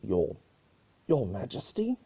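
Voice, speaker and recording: A man's voice: on the low side, wildly swooping pitch, slow pace, dark tone, slightly rough, heavily acted. Man voicing a stammering, timid young pony guard. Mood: nervous. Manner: stammering, deferential.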